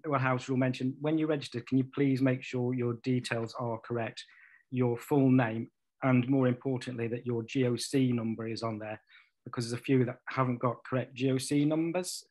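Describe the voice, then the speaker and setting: Man, mid pitch, methodical webinar host, computer mic. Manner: plain, methodical, with ums. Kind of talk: reading housekeeping notes. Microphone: computer mic on a video call.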